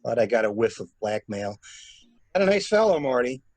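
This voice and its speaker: Man acting a husky, wry skeptic. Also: medium pitch, very animated, moderate pace, a little mellow, no echo. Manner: sardonic. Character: wry, skeptical man confiding with a troubled woman. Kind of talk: amateur radio-play acting.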